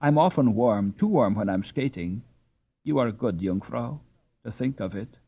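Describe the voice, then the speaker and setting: A man's voice: medium pitch, lively intonation, unhurried, gravelly, mellow, husky, full character voice. A man voicing a poor twelve-year-old peasant girl in a storybook, timid and polite. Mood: timid, brave.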